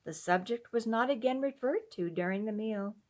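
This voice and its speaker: A woman narrating a classic story in an expressive, sentimental, dramatic storybook manner. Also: on the high side, moderate pace. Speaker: storyteller reading a classic tale. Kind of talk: expressive scripted narration of old-fashioned story.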